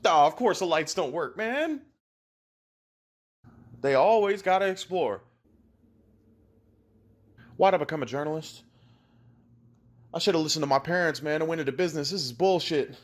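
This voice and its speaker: A man's voice: a little high, animated, normal speed, long pauses, pitched above the performer's own voice. A man playing a reluctant, fed-up journalist from a horror game in a comedy sketch, grumbling and swearing. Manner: grumbling, profane, slangy.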